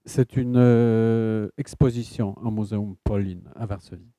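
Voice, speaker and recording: A man with a Polish accent, an author answering audience questions in a halting way, often stopping to search for words. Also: fairly low pitch, fairly even intonation, dark tone, no room sound, studio quality. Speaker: guest author.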